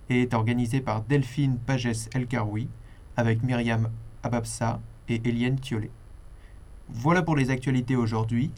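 A man's voice: medium pitch, darkish tone. Male radio presenter, mid-range voice, reading scripted event announcements. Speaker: radio host.

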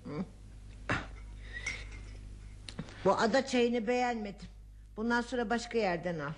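A woman's voice: a little high, brisk, lightly acted. An actress playing an elderly housewife and grandmother in a radio play, scolding and teasing with frequent exclamations. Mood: grumbling. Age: older. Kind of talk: radio theatre acting.

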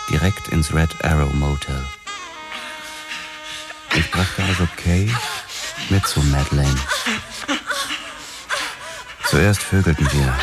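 An actor playing a brooding, obsessed police detective, narrating his own noir story in a measured, sombre manner. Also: rumbling low. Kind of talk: scripted noir first-person narration.

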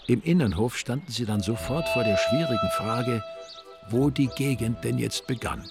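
A man's voice: medium pitch, moderate pace, loud music behind the voice. A man narrating a radio drama in a dry, ironic, literary style. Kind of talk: scripted, wry literary narration.

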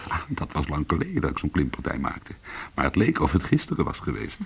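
An actor playing a weary, hard-boiled former cat burglar, telling his story in an intimate, brooding and deliberate way in a radio play. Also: extremely low pitch, huge pitch swings, breathy edge, heavily acted. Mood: hushed, tense.

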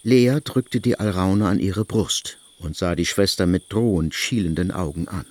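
A man narrating a literary radio drama in a measured storytelling manner. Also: sits low, darkish tone, no room sound.

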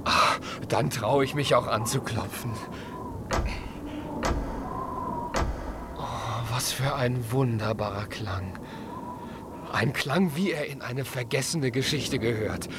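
Slow, timid little piglet, voiced by a man. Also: medium pitch, very noisy, lightly acted. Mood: wondering. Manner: slow, hesitant, wondering. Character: timid, dreamy young piglet, easily scared. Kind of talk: children's radio play acting.

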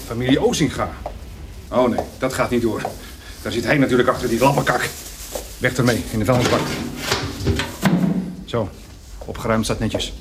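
An actor playing a brusque, dutiful young farmer in a radio drama, answering in clipped, offhand phrases. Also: male voice, medium pitch, moderate pace, pauses often, very noisy, close to an everyday voice. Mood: scornful. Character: brusque young farmer son, short-tempered but dutiful.

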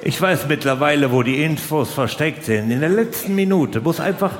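A man's voice: fairly high pitch, moderate pace, a faint crowd. A middle-aged man doing stand-up comedy in a colloquial, mock-exasperated way, full of rhetorical questions. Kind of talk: stand-up comedy to a live audience. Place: large live venue. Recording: roomy live hall, noisy background.

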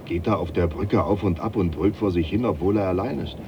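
An actor playing a deferential, plain-spoken, reassuring manservant aboard a ship in a radio drama, respectful and wry. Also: male voice, low-pitched, fairly quick. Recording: muffled, clearly noisy.

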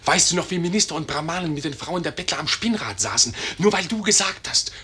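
A man's voice: fairly high pitch, expressive, fast, bright, forceful tone, slightly rough, exaggerated voice. A man voicing a harsh, insistent bullet that badgers a dying man in a declamatory radio play. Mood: urgent.

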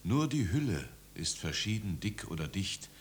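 An actor playing a kindly middle-aged village pastor in a 1950s German radio drama, patient and instructive. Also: male voice, low-pitched, very animated, normal speed. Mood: earnest. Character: kindly, measured village pastor, fatherly host. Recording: noisy 1950s radio recording.

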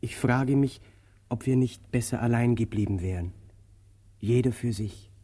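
An actor in a German radio play, playing a gloomy, resigned older vagrant with a dark, husky voice and deadpan manner. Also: male voice, mid-range voice, moderate pace, lightly acted. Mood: brooding. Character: gloomy old male vagrant, stubborn, resigned, brooding. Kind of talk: German-language radio drama acting.

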